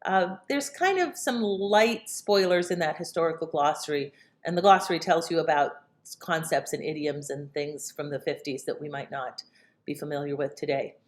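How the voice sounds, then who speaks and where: Chatty lower-voiced woman host. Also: normal speed. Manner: chatty, rambling, with fillers. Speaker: podcast host. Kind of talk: casual chat introduction.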